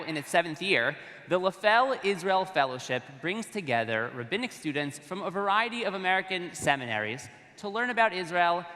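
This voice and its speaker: A man, a rabbi, giving formal prepared remarks to a conference with steady delivery. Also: fairly high pitch. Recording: long reverb of a large hall.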